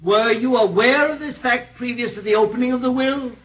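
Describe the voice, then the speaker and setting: An actor playing a formal, probing prosecuting counsel with declamatory questioning in a 1940s radio drama. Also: male voice, very high voice, fairly quick, a bit bright. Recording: distant, dull 1940s radio recording.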